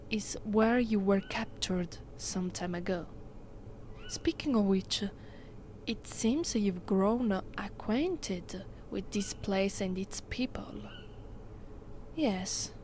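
Slow, haughty Italian-accented vampire mistress, acted by a woman. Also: medium pitch, darkish tone, a little hiss or hum, natural voice. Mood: wistful, aloof. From Italy. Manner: imperious, slow and deliberate. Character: haughty, possessive immortal female vampire, mistress of a castle. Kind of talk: ASMR roleplay, soft and often whispered.

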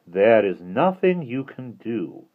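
A man's voice: mid-range voice, very animated, moderate pace, slightly rough, narrow, tinny audio, voice pushed up. A man playing a smug, mocking villain in an audiobook, with a light, sneering voice. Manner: sneering, taunting. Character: sneering, mocking male villain, smugly patient.

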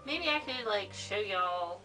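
A woman's voice: medium pitch, expressive, slow, bright, forceful tone, soft background music, poor audio. A young American woman giving a casual, rambling, unscripted tutorial at home in an informal way. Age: young adult. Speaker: tutorial host, amateur fandubber. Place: cluttered room at home.

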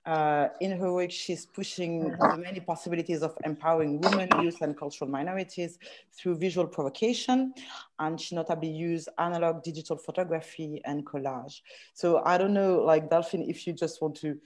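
Hesitant lower-voiced woman moderator. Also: steady tone, fairly quick, background noise. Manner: hesitant, repeats words before going on. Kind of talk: introducing a guest on a video call. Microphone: laptop mic on a video call.

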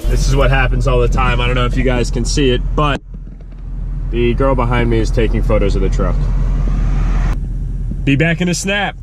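A man's voice: mid-range voice, normal speed, long pauses, over loud music. A young man in his twenties, congested and a bit nasal from allergies, chatting casually to viewers on his camera as he drives around. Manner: chatty, upbeat, addresses viewers as guys. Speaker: vlogger. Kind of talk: casual vlog talk to camera. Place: inside a pickup truck and in parking lots. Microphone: action camera held at arm's length. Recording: loud vehicle and road noise. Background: car and road noise.

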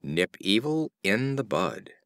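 A man narrating a storybook of fables in a measured, expressive reading. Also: lowish voice, no echo, studio quality. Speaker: audiobook narrator.

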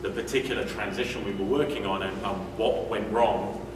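A man's voice: fairly low pitch, fairly even intonation, fairly quick. A British man from the UK in his forties giving a conference talk in a hotel meeting room, conversational, with frequent fillers and restarts. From United Kingdom. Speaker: conference speaker. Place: hotel meeting room, indoors, medium size. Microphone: room mic from a distance. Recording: echoey room, distant mic, noisy.

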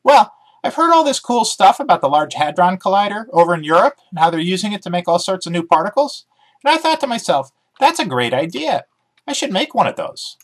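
A man voicing an eager, scheming female pet dog who argues excitedly about physics in a storybook reading. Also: high voice, fairly quick, voice pushed up. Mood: excited, boastful. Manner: excitable, argumentative.

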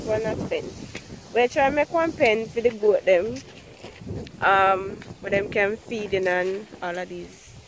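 A woman's voice: medium pitch, noisy background. A young woman with a Jamaican accent, vlogging casually on a rural farm and teasing her friends. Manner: playful teasing, lots of laughing. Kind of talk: casual vlog chat. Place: outdoors on a grassy rural farm.

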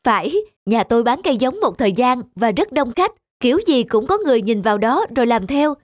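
A woman's voice: on the high side, very animated, fairly quick, no echo, studio quality. A woman voicing a polite, enterprising young village man in a cartoon, earnest and courteous. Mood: confident, modest. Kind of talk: cartoon dubbing. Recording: music under the dialogue.

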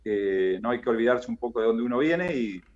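A middle-aged man with an Argentine accent, chatting as an interview guest over a video call, filling pauses with "eh" and "viste". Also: mid-range voice, lively intonation, a little mellow. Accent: Argentine Spanish. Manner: chatty, fills with "eh" and "viste", restarts phrases. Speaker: interview guest, former basketball player. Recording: dull lo-fi video call audio.

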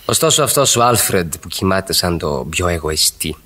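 Radio drama role: boyish married suitor, low voice, playful, widely swinging intonation. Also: male voice, expressive, pushed and bright, heavily acted. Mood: sulky. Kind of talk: radio play acting, romantic dialogue.

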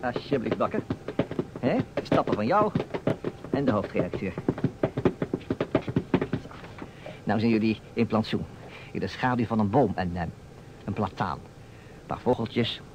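A man's voice: lowish voice, unhurried, pauses often. A man acting a playful, argumentative storyteller who steers a detective game in a Dutch radio play. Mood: scene-setting. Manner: animated, theatrical. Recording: old radio recording, faint noise.

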